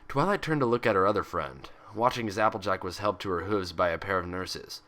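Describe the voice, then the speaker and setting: A man's voice: deep voice, expressive, a little fast, pushed and bright. A man reading a story aloud as a scripted narration. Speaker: fan fiction reader and host.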